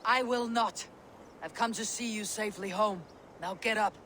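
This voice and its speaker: A woman's voice: medium pitch, moderate pace, a bit bright, slight background noise, natural voice. A woman playing a dutiful Viking warrior who speaks firmly and plainly, commanding a sulking king to come home. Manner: firm, plain-spoken, commanding. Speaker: game protagonist. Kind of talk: video game cutscene acting.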